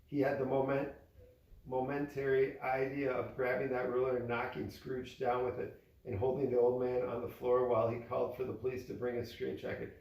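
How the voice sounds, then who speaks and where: A man's voice: mid-range voice, monotone, brisk, creaky, a little mellow. A man narrating a storybook aloud as a father reading to his child. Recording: echoey room, distant from mic.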